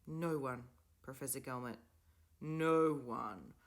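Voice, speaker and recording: A woman's voice: very deep voice, slow pace, full character voice. A woman voicing an indignant schoolgirl who protests in disbelief. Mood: shocked, indignant. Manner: incredulous, protesting. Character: defiant schoolgirl, shocked and indignant.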